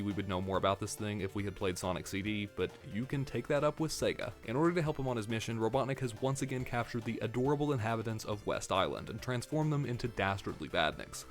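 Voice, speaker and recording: Man, rapid delivery, hoarse from a cold, dry sarcastic review narration, faint music behind. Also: on the low side. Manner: sarcastic, wry asides. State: losing his voice from a cold. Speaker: video game reviewer. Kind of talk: scripted video essay narration.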